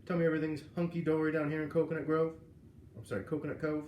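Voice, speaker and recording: A man's voice: on the high side, level tone, quick pace, a little mellow, lightly acted. A man voicing a pompous, impatient, silver-haired vice president, sarcastic and condescending, in a storybook reading. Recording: roomy, echoing, noisy home recording.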